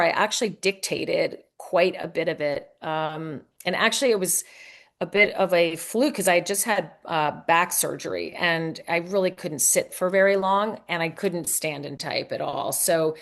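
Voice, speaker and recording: A woman with a low voice talks casually as an author being interviewed. Her delivery is conversational and a little hesitant, as she works out her thoughts while speaking. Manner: conversational, hesitant, thinking aloud.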